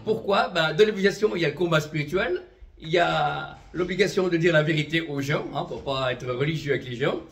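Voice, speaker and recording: A man in his fifties, from Vietnam, tells his religious testimony as an itinerant minister. His voice sits a little high for a man, and he speaks with fervent conviction. He scatters amens and voilàs through his talk and chuckles lightly now and then. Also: fairly quick, creaky, fairly bright tone, muffled recording. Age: 50s.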